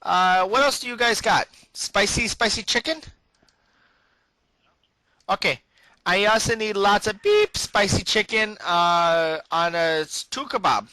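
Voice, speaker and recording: A man putting on a bumbling prank caller who speaks haltingly in broken sentences with interjected beeps. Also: high-pitched, pushed and bright, no room sound. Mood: eager, halting. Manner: halting, broken grammar, interjects the word beep. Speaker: prank caller placing a food order. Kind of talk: improvised prank phone call.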